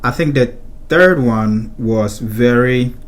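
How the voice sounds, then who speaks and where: A young man from Ghana co-hosting a casual chat about saving money, explaining calmly and easily. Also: mid-range voice, slow pace. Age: young adult. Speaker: co-host of a personal finance channel.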